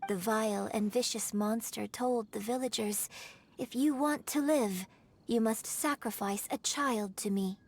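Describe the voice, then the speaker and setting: Poised, higher-voiced young storyteller. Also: female voice, a little hiss or hum. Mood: dramatic storytelling. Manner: measured, eloquent, theatrical storytelling. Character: poised, eloquent young woman opera performer and storyteller. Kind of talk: video game character acting. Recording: background music.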